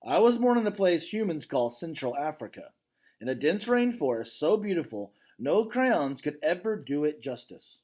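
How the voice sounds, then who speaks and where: Storybook silverback gorilla, read by a man: adult male, gentle, weary, reluctant, reflective, expressive pitch movement. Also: moderate pace.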